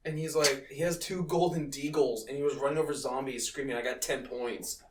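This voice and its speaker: A young man hosting a casual film-review chat, talking in an excitable, profane, ranting way. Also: mid-range voice, fairly quick, noisy background. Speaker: podcast host. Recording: roomy, distant from the mic.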